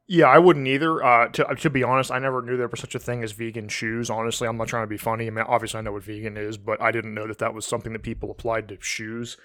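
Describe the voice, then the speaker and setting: A man's voice: medium pitch, flat delivery, fast, hardly any pauses, a bit bright, dry recording, studio quality. A man co-hosting a podcast in casual, unscripted chat, thinking aloud tentatively and leaning often on "like". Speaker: podcast co-host.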